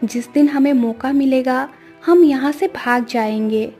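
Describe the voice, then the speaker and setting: A woman's voice: high voice, unhurried, darkish tone, faint music behind. A woman voicing a young, clever princess in a cartoon story, composed and persuasive. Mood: urgent planning.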